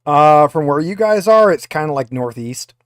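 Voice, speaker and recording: A man's voice: fairly high pitch, lively intonation, normal speed, no room sound, clean studio sound. A man acting as game master, narrating a tabletop roleplaying game in a hesitant, conversational way.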